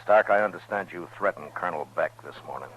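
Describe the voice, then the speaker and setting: Deep-voiced, steady western marshal. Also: male voice, fast talker, slight background noise. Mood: firm, laconic. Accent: American. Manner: blunt, firm, laconic authority. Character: stern, steady frontier US marshal of Dodge City. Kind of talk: 1950s radio western acting. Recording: muffled old-time radio recording.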